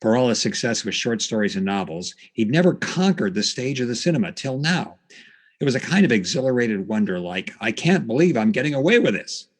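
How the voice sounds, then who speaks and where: A man hosting a podcast, telling stories from notes in a conversational, somewhat halting way. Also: medium pitch, very animated. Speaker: podcast host. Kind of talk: storytelling narration from notes, conversational.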